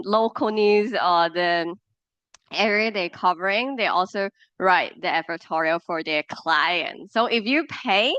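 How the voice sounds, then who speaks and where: A woman with a Hong Kong accent, a native Cantonese speaker, talks a class through a presentation in English. Her voice sits fairly low and sounds smooth and resonant, heard dry and a little muffled. She fills her pauses with "uh" and restarts her sentences as she works through examples. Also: a bit bright, no echo. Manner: explains with examples, frequent fillers and restarts. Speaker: lecturer.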